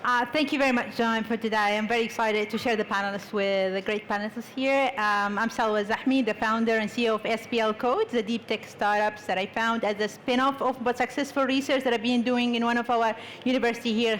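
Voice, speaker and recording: Woman, fairly high voice, hesitant with lots of fillers, faint noise. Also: steady tone, fast talker, hardly any pauses, a bit bright. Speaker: panelist, startup founder. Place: conference panel stage.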